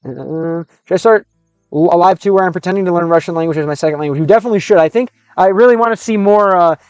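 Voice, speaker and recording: A man's voice: high-pitched, fast, darkish tone, clear, resonant tone, dry recording, lo-fi sound, soft background music. A man livestreaming, chatting with viewers and translating game text as he learns a language, often restarting his sentences. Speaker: streamer learning Russian. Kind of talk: casual livestream chat with viewers.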